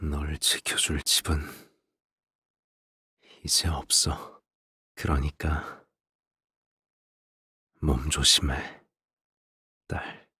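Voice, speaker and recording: An actor voicing a controlling, world-weary father and menacing swordsman in a video game, husky and deliberate, speaking with ominous softness. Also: a bass voice, flat delivery, no room sound, clean studio sound, exaggerated voice. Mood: quiet, weary. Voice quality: husky, breathy. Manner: slow, deliberate, ominously soft-spoken. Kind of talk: dramatic game character voice lines.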